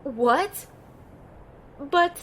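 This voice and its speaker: A woman's voice: high voice, animated, very slow, fairly bright tone, full character voice. A woman playing an earnest, tender-hearted young heroine who tells her own story in an audio drama. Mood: hesitant, concerned. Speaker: narrator and lead character. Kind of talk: first-person audio drama narration and dialogue.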